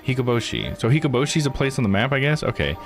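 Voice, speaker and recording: A man streaming a visual novel, thinking out loud in casual live commentary as he reads and puzzles. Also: mid-range voice, sing-song, fast, soft background music. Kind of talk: casual live game commentary, reading text aloud.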